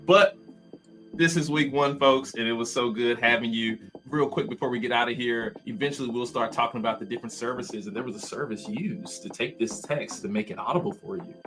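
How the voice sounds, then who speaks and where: A man's voice: medium pitch, a little fast, a bit bright, soft background music, poor audio. A man hosting a casual tech chat over a video call, upbeat and encouraging. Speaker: host. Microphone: headset, video call.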